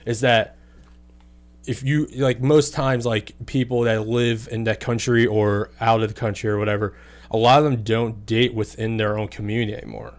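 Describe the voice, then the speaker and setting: A man's voice: on the low side, level tone, moderate pace. A young man telling stories in a casual chat, swearing freely and laughing often. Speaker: podcast guest.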